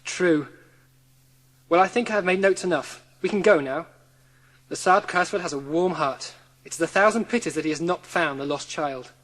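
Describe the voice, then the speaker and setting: A man playing a brisk, practical secretary with a businesslike, clipped manner in a dramatised audiobook. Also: a little high, fairly bright tone, low-quality recording. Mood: curious. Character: brisk, practical gentleman's secretary surveying a room.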